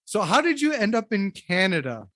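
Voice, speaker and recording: A man's voice: high-pitched, big pitch swings, moderate pace, a bit bright. A man co-hosting a podcast with playful, giggly banter. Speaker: podcast co-host. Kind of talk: casual podcast chat.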